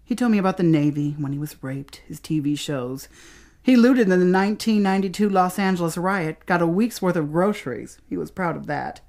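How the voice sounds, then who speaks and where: Low-voiced American woman, dramatic spoken-word storyteller. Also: darkish tone. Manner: theatrical, with dramatic pauses and emphasis.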